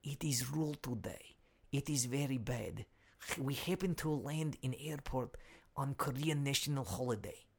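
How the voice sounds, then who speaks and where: A man voicing an anxious Ukrainian foreign correspondent in a comic news report, hushed and secretive in broken English. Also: on the high side, normal speed. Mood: hushed, urgent. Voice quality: hushed, breathy, gravelly.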